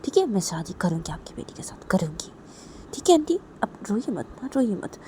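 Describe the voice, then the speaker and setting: A woman voicing a reassuring young woman who gently coaxes and comforts, repeating herself. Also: medium pitch, big pitch swings, brisk, in a whisper, voice pushed up, lightly acted. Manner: gentle, repetitive coaxing.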